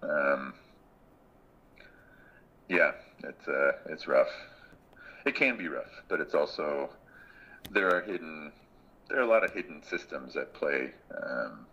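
A man in his thirties, a guest in a casual interview, speaking in a thoughtful, reflective way and pausing often mid-sentence. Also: extremely low pitch, expressive, pushed and bright, dull audio. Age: 30s. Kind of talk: casual conversation.

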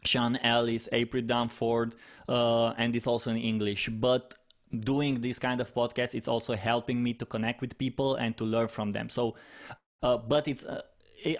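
Halting man speaking second-language English. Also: medium pitch. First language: Romanian. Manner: halting, many restarts and fillers. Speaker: podcast guest, content manager. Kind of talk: casual interview answers.

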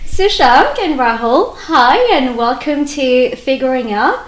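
A woman's voice: sits high, animated, slow, poor audio. A woman hosting a podcast interview, chatty and encouraging with frequent affirmations. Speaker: podcast host.

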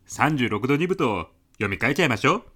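A man's voice: mid-range voice, big pitch swings, brisk, pushed and bright. A man playing an insistent, argumentative, eccentric businessman with absurd logic in a comedic radio drama. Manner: insistent, matter-of-fact, argumentative.

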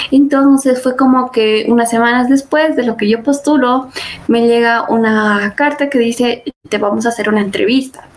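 Casting: young woman guest on a podcast, slightly high voice, chatty storyteller, faint noise behind. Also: poor audio. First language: Spanish. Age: young adult. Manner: chatty, many fillers and quoted asides. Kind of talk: casual interview storytelling.

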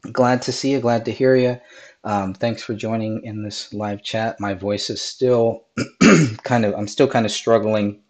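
Male host, slightly low voice, hoarse and raspy, loose rambling commentary delivery. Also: fairly even intonation, measured pace. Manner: rambling, many ums and restarts. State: hoarse from a cold. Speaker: podcast host. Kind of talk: live-stream commentary over video clips.

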